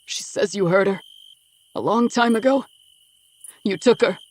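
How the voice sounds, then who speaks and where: A man's voice: sits high, measured pace, voice pushed up. A woman voicing an anguished young man confronting his father, strained but firm. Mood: pained confrontation.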